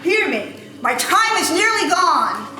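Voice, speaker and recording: A woman's voice: extremely high pitch, sing-song, takes it slowly, sharp tone, heavily acted. A woman in an amateur dramatic reading playing a mournful male ghost in chains who declaims solemn warnings. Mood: urgent warning. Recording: very echoey room, faint background noise.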